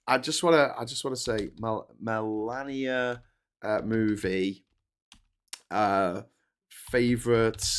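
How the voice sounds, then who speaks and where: A man hosting a casual morning livestream, reading comments aloud with sarcastic, rambling asides. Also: medium pitch. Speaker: host.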